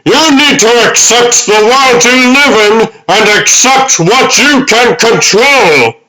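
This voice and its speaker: A man voicing the ghost of an old butler, fatherly, earnest and deliberate, in a dramatic comic dub. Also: very high-pitched, animated, pushed and bright, heavily acted, rough recording. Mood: impassioned, exasperated.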